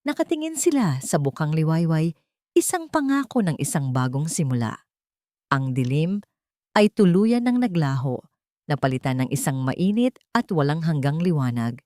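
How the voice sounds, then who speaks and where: Woman narrator reading a dramatic story, lowish voice, dry close sound. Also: very animated, moderate pace, mellow, no echo.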